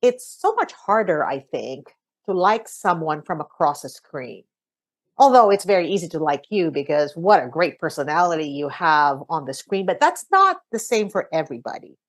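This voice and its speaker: A woman's voice: deep voice, big pitch swings, normal speed. A woman in her sixties hosting a podcast, answering questions in a thoughtful, earnest and encouraging way. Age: 60s. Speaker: podcast host.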